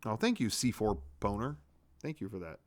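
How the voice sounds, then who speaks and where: A man's voice: lowish voice, big pitch swings, moderate pace. A man hosting a comedy web show, reading out his own drafts and adding blunt, sweary, casual commentary.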